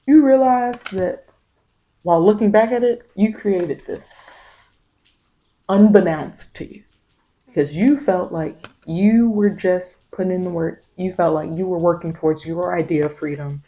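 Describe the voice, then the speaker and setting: A woman's voice: on the low side, unhurried, mellow, rough recording. A woman tarot reader giving spiritual guidance in an informal, ASMR-leaning monologue, speaking straight to the listener with emphatic, repeated phrasing. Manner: repetitive, emphatic phrasing addressed directly to the listener; informal vernacular speech.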